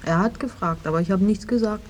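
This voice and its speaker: An actress playing a poor, guarded young maid, plain and stoic, in the style of a 1950s radio drama. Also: female voice, medium pitch, quick pace, slight background noise, lightly acted.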